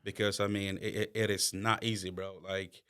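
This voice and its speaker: A young man from Nigeria who speaks, chatting as an interview guest. He talks in a loose, casual way and is quick to joke and laugh along. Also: low voice, little pitch movement, fairly bright tone, clean tone. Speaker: guest, professional bodybuilder.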